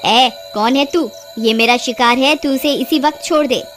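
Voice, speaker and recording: A woman's voice: sits high, normal speed, a bit bright. A woman voicing a cruel female forest witch in a cartoon, with a taunting, menacing manner. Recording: music behind the voice.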